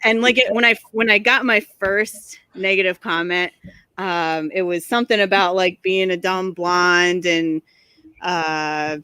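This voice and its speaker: A woman who makes motorcycle videos, chatting casually on a livestream from her garage, sarcastic and quick to laugh, with lots of fillers. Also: lowish voice, steady tone, bright, forceful tone, clean tone, dry recording. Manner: laughs often, sarcastic, filler-heavy storytelling. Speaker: guest, motorcycle video creator. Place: home garage.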